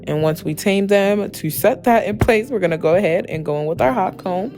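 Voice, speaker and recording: Female tutorial host, young adult, slightly hoarse with some fry, chatty and emphatic, mid-pitched, music underneath. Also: expressive, a little fast, darkish tone. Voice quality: slightly raspy. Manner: chatty, emphatic, addresses viewers familiarly. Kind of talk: casual voice-over tutorial. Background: background music with guitar.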